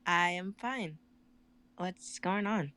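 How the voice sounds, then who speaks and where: A man voicing Deja, a young fighter, in a light, soft voice. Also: sits high, very slow, voice pushed up, heavily acted.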